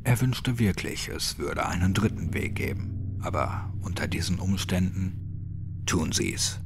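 A man's voice: low voice, expressive, moderate pace, gravelly, background noise. A man reading scripted narration for a horror audiobook.

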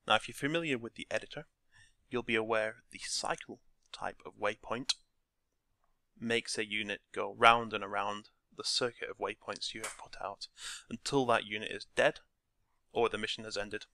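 A man with a croaky voice talking through a game scripting tutorial step by step from his desk. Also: on the low side, moderate pace, dry recording. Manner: relaxed step-by-step explaining. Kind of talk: screen-recorded tutorial narration.

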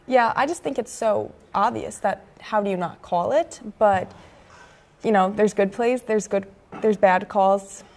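A woman's voice: mid-range voice, measured pace. A young American woman co-hosting a student sports show with conversational commentary, recorded on a lapel mic. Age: young adult, early 20s. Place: indoor campus TV studio at a desk.

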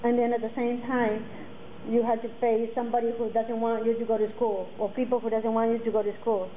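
A woman in her forties, a native Mixtec speaker, speaking earnestly to students and halting often with fillers and restarts. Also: fairly high pitch, flat delivery. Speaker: guest speaker. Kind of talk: personal talk to students. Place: classroom with curtains, indoors. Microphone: table mic on a stand. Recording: tinny phone-like sound, faint noise.

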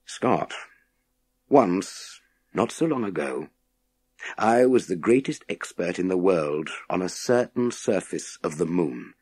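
A man playing a world-weary, authoritative former astronaut with a wry, intense, deliberate manner. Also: low voice, lively intonation, a little mellow, breathy edge, no echo, exaggerated voice.

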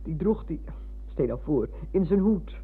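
A woman's voice: fairly low pitch, lively intonation, normal speed, breathy edge, faint music behind, full character voice. An actress playing a motherly, talkative older woman in a radio play, effusive and given to digressions. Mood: fondly reminiscing. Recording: narrow-band, noisy, humming old radio recording.

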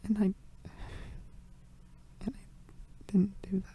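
A young woman, crying, speaking softly and haltingly in a girlfriend comfort roleplay, apologizing to the listener between whispers. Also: medium pitch, little pitch movement, breathy edge. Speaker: audio roleplay performer.

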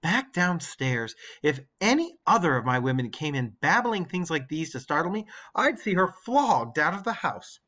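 A man playing a guarded, doubting queen in a story read aloud, subdued and measured. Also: on the high side, big pitch swings, sharp tone, heavily acted. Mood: doubtful, subdued. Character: guarded, doubting middle-aged queen and mother.

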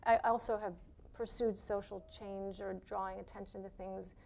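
A middle-aged woman, an art instructor, answering interview questions in a measured, hesitant way on a TV studio set. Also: mid-range voice, little pitch movement, creaky, a little mellow, a little hiss or hum.

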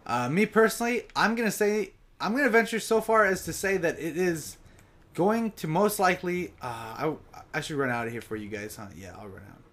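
A young man giving casual live commentary over his own gameplay, talking straight to his viewers, his voice a bit raspy from long sessions. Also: sits high, very animated, brisk, a bit bright. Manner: chatty, casual, addresses viewers directly.

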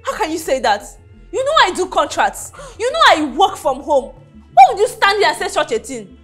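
A woman's voice: fairly high pitch, very animated, normal speed, faint music behind. An actress playing a devoted wife who pleads with and confronts her husband, often repeating herself. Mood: hurt.

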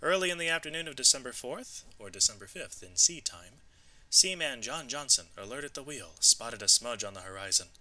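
A man narrating an educational storybook read-aloud, with expressive, clearly emphasised delivery. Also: mid-range voice, a little fast, low-quality recording.

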